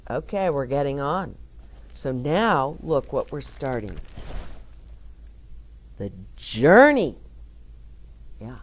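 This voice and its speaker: A woman reading a picture book aloud to children, gently stopping to explain words and ask the listener questions. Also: very deep voice, big pitch swings, slow pace, pauses often. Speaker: children's storyteller.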